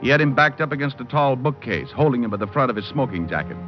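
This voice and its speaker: An actor playing a cocky, wisecracking hardboiled private detective who narrates with deadpan humor in an old-time radio drama. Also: male voice, mid-range voice, quick pace, close to an everyday voice. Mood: wry narration. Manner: deadpan, flippant wordplay in narration and dialogue. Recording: muffled old radio recording with music.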